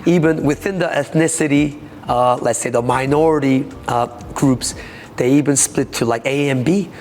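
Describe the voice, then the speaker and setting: A man from South Korea with a Korean accent, a taekwondo master speaking haltingly in an interview, with many fillers. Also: mid-range voice, darkish tone, in a large hall, slight background noise.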